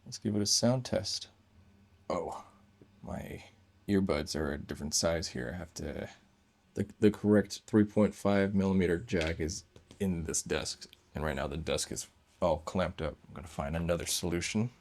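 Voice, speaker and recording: A man reviews gadgets casually and without a script. His voice sits on the low side with plenty of gravelly fry. He thinks out loud as he goes, in short, broken phrases. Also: normal speed. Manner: thinking aloud in short fragments. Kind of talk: casual unscripted hands-on review.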